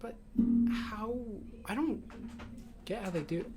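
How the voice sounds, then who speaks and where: A man's voice: high voice, animated, slow, over music. A young man co-hosting a student podcast, discussing movies in a casual, conversational way. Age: young adult. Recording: noticeable background room noise.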